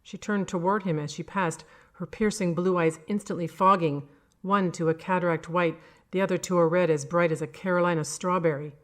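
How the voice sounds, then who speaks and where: A woman's voice: fairly low pitch, fairly even intonation, fairly quick. A woman reading a scripted horror story as narrator. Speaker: audio fiction narrator.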